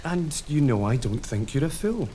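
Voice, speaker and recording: A man's voice: mid-range voice, sing-song, moderate pace, dark tone, a little hiss or hum, lightly acted, rough recording. A man playing a devoted laird and husband in a period audio drama, tender and reassuring. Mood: teasing.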